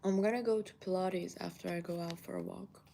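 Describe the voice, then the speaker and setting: Persian-accented young woman, chatty and gravelly. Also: lowish voice, steady tone, fairly quick, warm tone, slight background noise. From Iran. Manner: chatty, thinks aloud while eating. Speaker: vlogger. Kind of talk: casual chat to viewers. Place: home kitchen, indoors.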